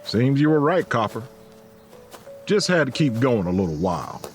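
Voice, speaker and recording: A man acting in an audio drama as a gentle, patient, folksy leader of weary companions, with a drawl. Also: mid-range voice, big pitch swings, normal speed, faint music behind, close to an everyday voice. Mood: easygoing.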